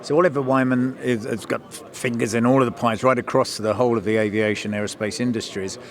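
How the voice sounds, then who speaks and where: A man's voice: mid-range voice, steady tone, a little fast. A man interviewing a guest on location at an event, putting questions in a conversational presenting style. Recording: crowd chatter around the voice.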